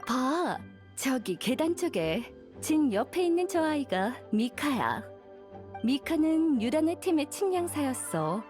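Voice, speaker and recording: A woman's voice: sits high, very animated, takes it slowly, darkish tone, soft background music, full character voice. A woman playing a confident, easygoing young woman knight in a game, knowing and conversational. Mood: knowing, casual. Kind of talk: scripted game dialogue.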